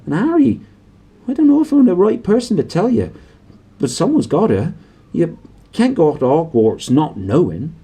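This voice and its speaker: A huge storybook giant, acted out by a man, booming and swooping high above an ordinary male register. He is gruff, blunt and folksy, big of heart and quick of temper, with a husky edge. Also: big pitch swings, warm tone. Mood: anxious.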